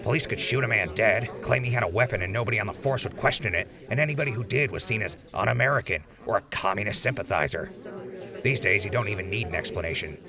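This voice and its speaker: A man's voice: lowish voice, quick pace, a crowd in the background, natural voice. A man playing a defiant, outspoken ghoul defendant in a post-apocalyptic audio drama, arguing his case in an impassioned, rambling way. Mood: indignant.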